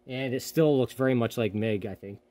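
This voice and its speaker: A man's voice: mid-range voice, a little fast, darkish tone. Rambling man in a garage workshop. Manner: rambling, self-correcting, offhand. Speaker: hobby welder, channel host. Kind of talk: casual workshop talk.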